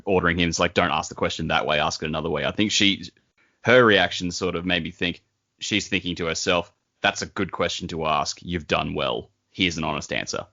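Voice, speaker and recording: A man with a deep yet bright voice tells wry stories and laughs along with his friends. He talks in an easy, casual way. The audio is dry and a little muffled. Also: no echo. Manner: wry storyteller, laughs at his own jokes. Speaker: podcast co-host. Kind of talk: casual chat and anecdotes.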